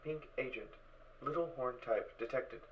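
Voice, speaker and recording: A man voicing an emotionless suit computer in an audio drama, clipped, formal and deadpan, giving literal status reports. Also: medium pitch, normal speed, muffled recording, natural voice. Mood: flat, matter-of-fact. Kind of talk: fan audio drama acting.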